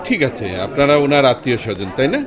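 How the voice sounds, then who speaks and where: An actor playing a formal, dutiful and reassuring hospital doctor in a Bengali radio drama. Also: male voice, mid-range voice, very animated, fast, crowd noise, close to an everyday voice. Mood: courteous, reassuring.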